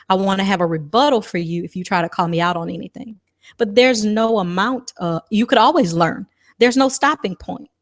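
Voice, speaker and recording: A woman giving a motivational talk on a telephone conference call, emphatic and encouraging, often posing rhetorical questions. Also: mid-range voice. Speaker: motivational speaker and author.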